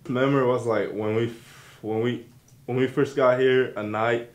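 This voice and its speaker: A man's voice: medium pitch, steady tone, normal speed. A teenage boy recalling a memory in a rambling way, full of restarts. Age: teens. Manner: rambling, lots of "like". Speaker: interviewed student.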